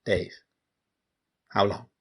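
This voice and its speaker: A man's voice: drawn-out words, fairly bright tone. An actor playing an earnest, sentimental young man in an audio drama, speaking sincerely like a lonely true believer. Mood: concerned. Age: young adult. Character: earnest, sentimental grown man who still believes in Santa. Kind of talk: naturalistic audio drama dialogue.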